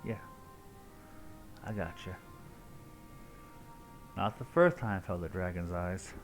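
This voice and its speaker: A man with a low voice gives casual live commentary on a game. He takes his time, swinging widely in intonation as he playfully reacts, with faint music behind him. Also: animated, slow pace. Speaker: game streamer.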